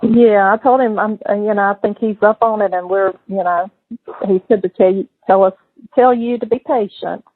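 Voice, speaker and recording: A woman's voice: mid-range voice, fairly even intonation, moderate pace, no echo. A middle-aged woman talking casually on a recorded phone call. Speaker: mother on a call to her son in jail. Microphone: telephone.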